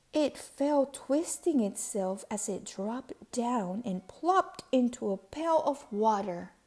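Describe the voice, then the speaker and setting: A woman reading a children's storybook aloud with expressive, deliberate emphasis on key words. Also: on the high side. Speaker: storybook reader.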